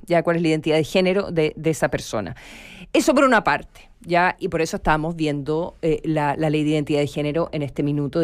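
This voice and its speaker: Clear-voiced Chilean woman explaining on radio. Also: low voice. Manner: deliberate explaining with pauses and check-in tags. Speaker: radio show panelist. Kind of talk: live radio discussion, explanatory.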